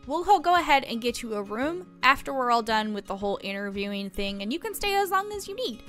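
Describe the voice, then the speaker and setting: A woman's voice: fairly high pitch, very animated, quick pace, a bit bright, soft background music. A woman playing a chatty, helpful small-town reporter, friendly and explanatory, in a tabletop roleplaying game. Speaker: game master.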